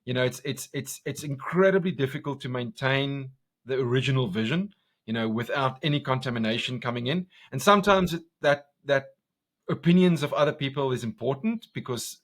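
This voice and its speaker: A man from South Africa with an Afrikaans accent, a commercial director talking passionately in a podcast interview, loud and full of restarts. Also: medium pitch, fairly quick. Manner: intense, passionate, talks loud, many restarts.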